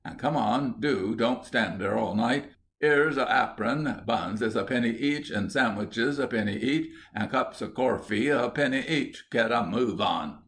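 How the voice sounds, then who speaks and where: A man voicing an aggrieved, sharp-tongued Cockney mother who runs a stall and scolds as she goes, in a storybook reading. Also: mid-range voice, unhurried. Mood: aggrieved, scolding. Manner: grumbling, rhetorical, sharp-tongued.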